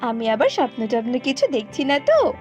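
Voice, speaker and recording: A woman voicing a kind, motherly bird in an earnest, expressive way for a children's cartoon. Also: high voice, normal speed, soft background music. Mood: amazed. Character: kind motherly female tailorbird, caring and helpful.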